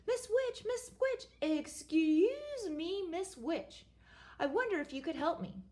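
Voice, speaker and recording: A woman's voice: extremely high pitch, expressive, slow pace, mellow, voice pushed up, full character voice. A nervous young frog prince, played by a woman, begging one witch after another for help. He stumbles and repeats himself in a breathless hurry, and his voice jumps around a lot. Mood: pleading. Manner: flustered, pleading, tripping over words.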